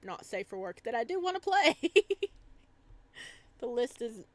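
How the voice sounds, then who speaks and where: A woman's voice: a little high, big pitch swings, measured pace, no room sound. A woman streamer with a chatty, giggly manner, talking casually to viewers while playing and reading aloud. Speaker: live streamer reading a visual novel aloud. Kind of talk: casual stream chat and playful read-aloud.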